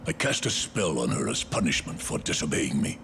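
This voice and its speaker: A man's voice: extremely low pitch, sing-song, brisk, fairly bright tone, a little hiss or hum, heavily acted. A man playing a stern, haughty older king in a fantasy game, imperious and formal. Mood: grudging resolve. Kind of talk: dramatic fantasy game acting.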